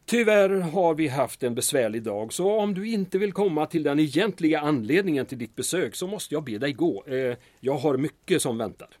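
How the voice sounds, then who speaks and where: A proud, guarded mayor in a radio drama. His voice sits a little high and moves in lively swings, formal and dryly sarcastic, recorded dry. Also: hardly any pauses, no echo. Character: proud, guarded town mayor under German pressure.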